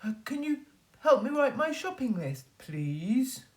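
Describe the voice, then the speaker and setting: A woman's voice: sits high, big pitch swings, a little slow, darkish tone, pitched above the performer's own voice. A woman voicing a polite, hopeful male wolf in a children's storybook, with a hesitant, courteous way of asking. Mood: politely pleading.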